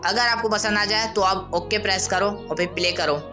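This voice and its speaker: A man presents an audio editing tutorial in a casual, instructional way. His informal commentary runs over clearly audible music. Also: sits high, moderate pace.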